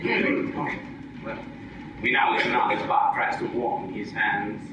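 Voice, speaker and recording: A man's voice: fairly low pitch, slow, dull audio, background noise. A man playing an old-time radio announcer, narrating formally and theatrically in 1940s style. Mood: dramatic. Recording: echoey hall, far from mic.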